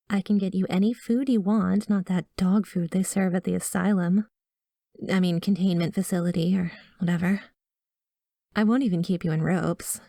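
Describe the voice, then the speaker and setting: A woman's voice: mid-range voice, mellow, no room sound, studio quality. A woman playing a breezy, cutesy female doctor with an obsessive, coaxing streak in an ASMR roleplay. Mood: coaxing, conspiratorial. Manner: breezy, cutesy, coaxing, blithely delivering grim news. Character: chirpy, awkward female doctor, secretly an obsessive yandere stalker. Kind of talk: ASMR roleplay acting addressed to the listener. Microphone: close studio mic.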